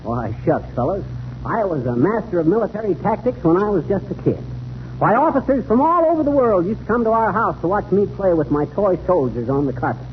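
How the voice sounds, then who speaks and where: An actor playing a cocky retired army major who spins tall tales, in folksy American 1930s radio comedy style. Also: male voice, high voice, very animated, a little fast. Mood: grandly boastful. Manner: folksy, boastful, ungrammatical wisecracking. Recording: noisy, tinny 1930s radio recording.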